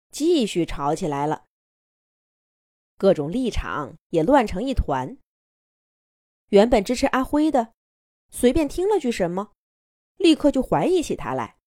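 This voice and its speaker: A woman narrating a children's fairy tale in an expressive, playful storytelling manner. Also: medium pitch, no echo, studio quality.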